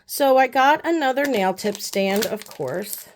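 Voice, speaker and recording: A young woman talks through a beauty haul in a loose, rambling way, full of "like" and "um". She is still sick with the flu, and her voice sits a little high. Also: lively intonation, unhurried, noisy background. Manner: chatty, rambling, lots of fillers. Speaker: beauty vlogger. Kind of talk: casual haul chat.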